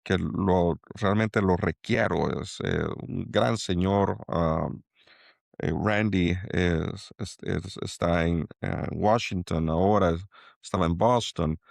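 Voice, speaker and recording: A middle-aged man from El Salvador with a Salvadoran accent, speaking as a guest in an interview and pausing to restart his thoughts. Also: low-pitched, vocal fry, no room sound. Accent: Salvadoran Spanish. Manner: halting, many restarts and fillers. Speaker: angel investor guest. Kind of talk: reflective interview talk.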